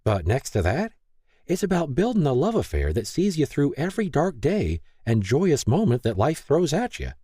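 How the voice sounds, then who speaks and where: A gentle, motherly woman speaks softly and with reassuring affection, as a male narrator puts her on in a raised voice. His tone stays mellow and slightly husky. The reading is close and dry, like a storybook. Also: a little high, wildly swooping pitch, moderate pace, dry recording, voice pushed up, heavily acted. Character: gentle, motherly older woman, kind host. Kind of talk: audiobook character reading.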